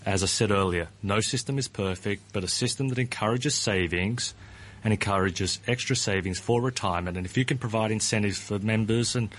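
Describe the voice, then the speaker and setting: A man's voice: lowish voice, fairly quick, fairly bright tone. A man being interviewed as a guest about pensions. He hedges, often starts with "look" and repeats his words. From Australia. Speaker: pension ratings firm founder.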